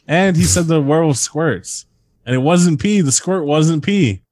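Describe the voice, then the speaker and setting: A man's voice: on the high side, unhurried, a little mellow. A man hosting a comedy podcast, reading strange stories aloud and breaking into laughter and asides. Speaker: podcast host reading a countdown list.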